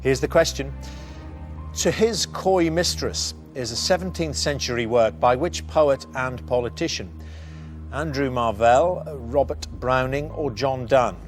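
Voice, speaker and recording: A man's voice: medium pitch, a little slow. A man hosting a television quiz show, presenting and putting questions to contestants. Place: TV studio. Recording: faint music bed behind. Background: music.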